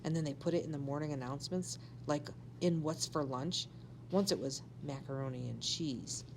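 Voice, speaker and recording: A woman's voice: deep voice, normal speed, background noise. A woman voicing a bossy, scheming schoolgirl in a storybook, telling tall tales in an insistent, conspiratorial way. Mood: matter-of-fact.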